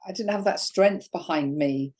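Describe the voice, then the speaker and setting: This is a woman hosting an interview, her voice croaky today. She speaks very low, with a dark, mellow tone. She shares her own story openly and stumbles over restarts as she goes. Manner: candid and personal, with halting restarts. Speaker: host. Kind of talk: conversational interview.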